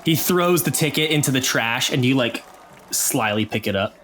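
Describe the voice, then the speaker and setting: A man narrating an improvised tabletop game as game master, describing scenes in a halting, cinematic way. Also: medium pitch, expressive, very noisy. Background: music.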